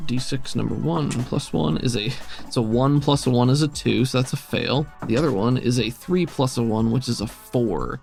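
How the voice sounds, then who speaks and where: A man with a creaky, fry-edged voice runs a tabletop roleplaying game. He narrates scenes and rules in an easygoing, conversational way, with faint music underneath. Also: mid-range voice, a little mellow. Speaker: game master. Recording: music behind the voice.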